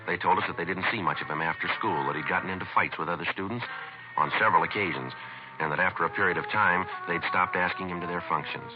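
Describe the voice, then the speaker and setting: An actor playing a terse, matter-of-fact police detective in a 1950s radio crime drama, on a muffled vintage recording. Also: male voice, low-pitched, quick pace, music in the background, natural voice. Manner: clipped, matter-of-fact, deadpan. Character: calm, terse male police detective narrating his case.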